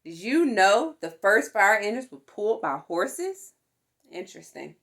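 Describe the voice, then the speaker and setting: A woman reads a picture book aloud for young children. Her mid-range voice moves in a lively, animated way across its pitch. She takes her time, speaking slowly and deliberately, and gives little exclamations a playful touch. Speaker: storytime reader for a fire department.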